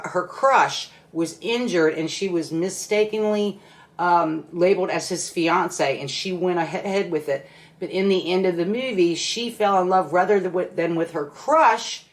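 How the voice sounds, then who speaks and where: A woman in her sixties chatting to her viewers as a fashion vlogger, with frequent ums and self-corrections. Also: low voice. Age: 60s. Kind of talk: casual talk to camera.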